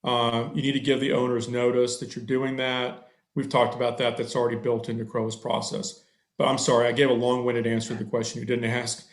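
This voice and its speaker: A man's voice: mid-range voice, level tone, fairly quick, reverberant. A middle-aged man, an attorney, answering a board's questions on a video call through a headset, thorough and a bit long-winded, with many fillers.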